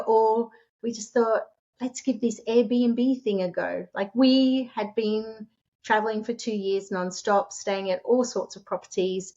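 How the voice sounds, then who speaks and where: A woman's voice: on the high side, darkish tone. Australian woman, middle-aged, chatty, laughs easy, kinda muffled lo-fi audio. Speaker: guest, short-term rental host and author. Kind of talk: casual interview storytelling.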